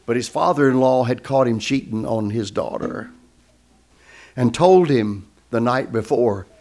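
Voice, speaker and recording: A man's voice: mid-range voice, measured pace, a little mellow. A man who is a guest speaker, telling a story in a sermon and acting out the voices of the people in it.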